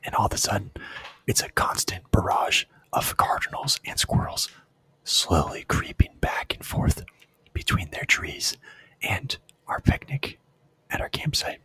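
Breathy whispering man telling stories. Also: lowish voice, expressive, measured pace, pushed and bright, no echo. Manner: rambling, profane, self-amused anecdotes. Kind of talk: whispered casual storytelling.

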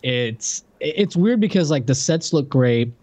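Halting, casual male podcast co-host. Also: fairly high pitch, animated, darkish tone. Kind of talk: casual unscripted chat.

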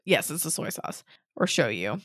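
A young woman co-hosting a podcast with her sister, her pitch swinging widely as she jokes, laughs and swears. Her voice is still slightly rough from a recent cold. The sound is close and dry. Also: very animated, a little slow, fairly bright tone, no echo. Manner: laughing, loose, swearing freely. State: recovering from a cold, voice a bit off. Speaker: podcast co-host. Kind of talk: casual chat.

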